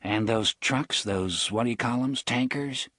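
A man's voice: medium pitch, measured pace, sharp tone, no echo, studio quality. A terse, blunt outlaw henchman, voiced by a man. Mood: dry. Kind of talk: audiobook character voice.

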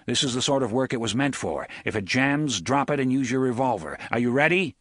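A man's voice: mid-range voice, lively intonation, a little fast, a bit bright, dry recording, clean studio sound. A man doing a terse young gunslinger leader. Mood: brusque. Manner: brusque and clipped.

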